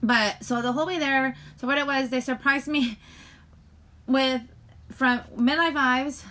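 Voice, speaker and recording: A woman hosting a casual live chat, rambling to viewers in a halting way, often breaking off mid-sentence. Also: high voice, fairly even intonation, sharp tone. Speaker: live stream host chatting with viewers.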